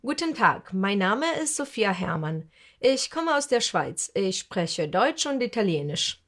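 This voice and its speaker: A woman's voice: mid-range voice, big pitch swings, a little slow, a bit bright, a little hiss or hum. A woman reading as a polite Swiss woman. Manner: polite, formal self-introduction.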